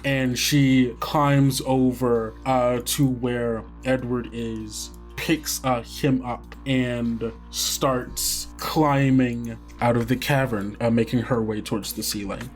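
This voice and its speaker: A man's voice: medium pitch, steady tone, takes it slowly, few pauses. A man narrating an actual-play tabletop game as game master, descriptive and a little hesitant in his storytelling. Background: guitar music under the voice.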